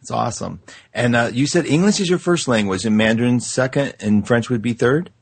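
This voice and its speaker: An enthusiastic man hosting an interview over a remote call, eager and jumping quickly between questions. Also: mid-range voice, vocal fry, breathy edge, no room sound. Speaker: host. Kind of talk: excited interview chat.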